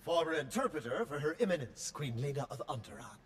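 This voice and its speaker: Game protocol droid: fussy, ceremonious, animated higher pitch, faint noise behind. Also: male voice, fast. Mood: pompous, fawning. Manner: pompous, ceremonious. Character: pompous, fussy male protocol droid translator. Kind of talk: video game cutscene acting.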